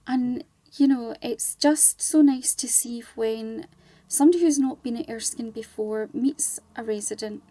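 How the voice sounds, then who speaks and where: A woman's voice: a little high, measured pace, dark tone, no room sound. A woman speaking as a charity ambassador and guest in a conversational radio interview, chatty and explanatory with wandering asides.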